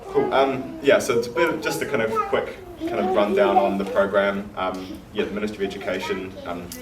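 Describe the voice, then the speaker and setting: Low-voiced man running a community workshop. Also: brisk, a crowd in the background. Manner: relaxed, many fillers, chuckles often. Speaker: workshop organiser. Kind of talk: casual introduction to a community workshop. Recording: roomy, distant, noisy room.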